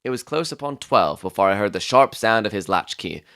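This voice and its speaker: A man playing an observant, composed Victorian gentleman who narrates a detective story in a measured, literary way. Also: lowish voice, lively intonation, clean tone, no echo, studio quality. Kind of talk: dramatic audiobook narration.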